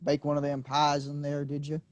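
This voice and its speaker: A man's voice: on the high side, monotone, a little fast, lightly acted. A man playing Bobby, a brash, blunt young man in a staged play reading. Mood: needling. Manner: loud, offhand, needling.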